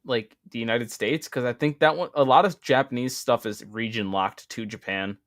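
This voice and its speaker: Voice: medium pitch, normal speed, no echo. A friend in a casual chat who teases the others with dry humor.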